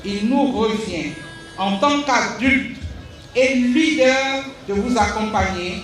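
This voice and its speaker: A woman's voice: fairly high pitch, slow pace. A woman giving a formal speech as a school principal. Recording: very echoey, distant, music behind.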